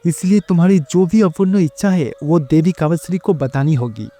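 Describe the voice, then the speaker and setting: Mellow, kindly god-father, acted by a man. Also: a little high, expressive, moderate pace, lightly acted. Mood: explaining. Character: calm, kindly god living as husband and father. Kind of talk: storybook character dialogue.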